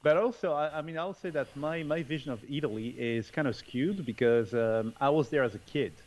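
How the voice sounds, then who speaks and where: A man's voice: mid-range voice, a little fast, darkish tone. A man from France, a podcast guest talking casually over a remote call, with lots of fillers and restarts. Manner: hesitant, many fillers and restarts, laughs often. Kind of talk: casual interview chat. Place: home in Bali.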